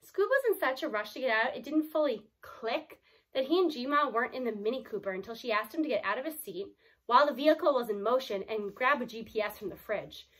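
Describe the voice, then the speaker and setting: A woman reading a storybook aloud in an animated, emphatic way, with explanatory asides. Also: on the high side, fairly quick, rough recording.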